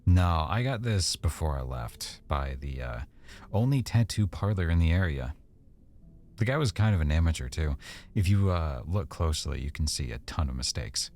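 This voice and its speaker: A man playing an affectionate, easygoing boyfriend in an intimate roleplay, speaking casually and softly with hesitant pauses. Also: rumbling low, lively intonation. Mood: fond, intimate. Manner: soft-spoken, casual, hesitant pauses and trailing thoughts. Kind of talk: boyfriend roleplay ASMR, one-sided intimate conversation.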